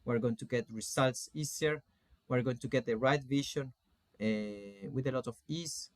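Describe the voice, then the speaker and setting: Man, forties, Argentine Spanish accent, medium pitch, deliberate halting delivery, informal spiritual coaching lecture, dry recording. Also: no room sound. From Salta, Argentina. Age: 40s. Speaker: spiritual coach teaching an online course. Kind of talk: informal online lecture with slides.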